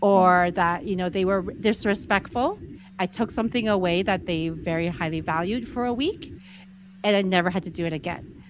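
A soft-spoken woman in her forties, a guest explaining her views in a measured, easygoing interview. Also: fairly low pitch, a little hiss or hum, low-quality recording. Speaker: podcast guest, entrepreneur. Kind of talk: casual interview conversation.

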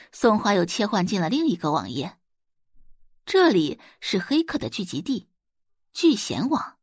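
Animated woman narrating an audiobook. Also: medium pitch, no room sound, studio quality. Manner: expressive, dramatised storytelling. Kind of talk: scripted audiobook narration of a web novel.

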